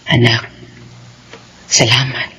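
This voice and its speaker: A woman's voice: extremely low pitch, flat delivery, slow pace, slight background noise, exaggerated voice. A woman playing a loving, self-sacrificing Filipino mother in an emotive, earnest radio drama. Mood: tender, reassuring. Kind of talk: Filipino radio drama acting, heartfelt narration. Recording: radio drama with loud music bed.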